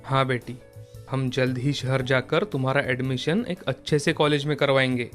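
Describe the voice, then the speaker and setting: A man acts out a poor but proud village father in a cartoon moral tale. He speaks at an ordinary male pitch, with affection and encouragement. Soft music runs behind him. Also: fairly even intonation, normal speed, close to an everyday voice. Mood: proud, affectionate. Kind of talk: Hindi moral cartoon story dialogue.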